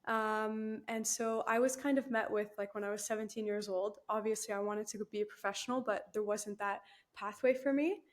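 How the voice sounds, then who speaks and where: A woman's voice: mid-range voice, steady tone, normal speed, hardly any pauses. A young woman, chatting as a guest in an interview, laughing easily and using lots of fillers. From Canada. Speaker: guest, new team member. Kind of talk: casual interview chat.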